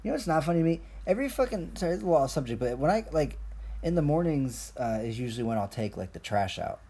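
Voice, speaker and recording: Husky man ranting casually. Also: a little high, big pitch swings, quick pace, dark tone. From Colombia. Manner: profane, jokey, self-deprecating ranting. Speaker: podcast host. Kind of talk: casual rambling monologue.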